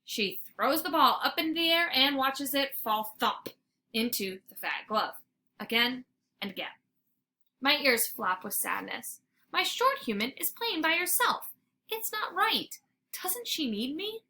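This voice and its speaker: A woman reading a children's story aloud like a teacher, in an expressive, theatrical narration. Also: high-pitched, a little slow, slight background noise. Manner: animated, theatrical.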